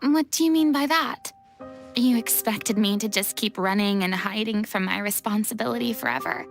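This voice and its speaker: A woman voicing a lonely, remorseful young former goddess in a game, speaking softly and breathily in a hushed, confessional way. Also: mid-range voice, moderate pace, soft background music, natural voice. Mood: defensive. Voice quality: soft and often breathy, near a whisper. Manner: hushed, confessional and heavy-hearted. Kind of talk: game character dialogue.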